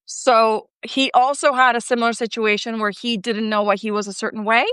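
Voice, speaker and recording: Chatty self-deprecating woman, higher voice. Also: steady tone, a little fast, a bit bright, smooth, no echo. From Colombia, raised in Ecuador. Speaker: guest. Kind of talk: casual chat.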